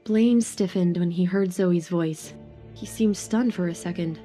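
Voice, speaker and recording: A woman's voice: lowish voice, a little slow, dark tone, soft background music. A woman narrating a scripted romance audio drama.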